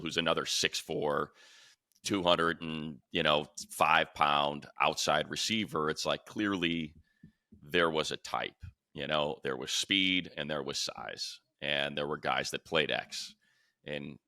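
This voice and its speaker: A man's voice: very deep voice, pushed and bright, no echo, clean studio sound. A man hosting a sports podcast, talking through football opinions in a casual, conversational style. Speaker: sports podcast host and radio play-by-play announcer.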